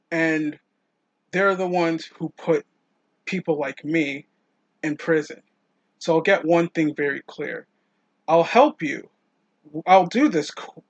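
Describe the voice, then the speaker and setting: A man acts a distrustful ex-prisoner in a tabletop game, blunt and deliberate as he confronts people. The voice sits fairly high for a man and sounds slightly dull and dry, like voice chat. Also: no room sound. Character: blunt, distrustful big male ex-prisoner with grudging honour.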